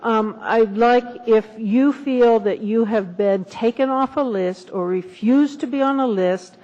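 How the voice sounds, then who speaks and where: A woman chairing a city assembly meeting, brisk and procedural. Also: medium pitch, darkish tone. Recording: dull lo-fi meeting recording.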